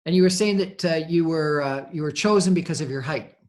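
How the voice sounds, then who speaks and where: A man hosts a conversational radio interview in a somewhat high-pitched voice. His delivery is halting and hesitant as he introduces and questions. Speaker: radio show host.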